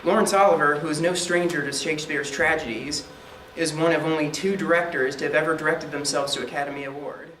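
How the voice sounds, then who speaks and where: A man's voice: on the high side, steady tone, fast talker, fairly bright tone, close to an everyday voice. A man playing an earnest classic-movie television host, giving a formal scripted introduction. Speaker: presenter introducing a classic film. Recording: distant, echoey room with background noise.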